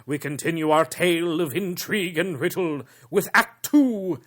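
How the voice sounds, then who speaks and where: A man playing an ominous horror radio host, narrating in a theatrical, drawn-out, macabre old-time radio style. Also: on the high side, fairly bright tone, lightly acted. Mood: ominous, suspenseful. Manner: dramatic, drawn-out, macabre delivery.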